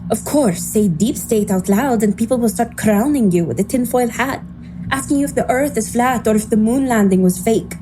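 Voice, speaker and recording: A woman's voice: a little high, normal speed, music in the background, lightly acted, poor audio. An actress playing a sarcastic, casual woman tech expert in an audio drama. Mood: cynical. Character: sardonic, breezy woman, AI creator and tech expert.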